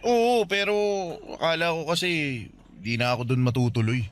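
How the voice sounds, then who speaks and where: An actor with a Filipino accent playing a devoted young suitor who pleads earnestly in a Tagalog radio romance drama. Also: male voice, fairly high pitch, sing-song, moderate pace, a little hiss or hum. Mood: defensive.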